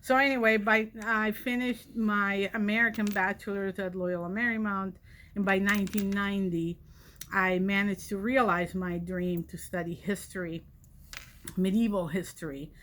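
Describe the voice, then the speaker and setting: A woman's voice: medium pitch, steady tone, moderate pace, fairly bright tone, slight background noise. A woman, a college vice president telling her personal story in a reflective, conversational way. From Catalonia, Spain. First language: Catalan. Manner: reflective, conversational, many fillers. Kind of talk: personal origin story talk.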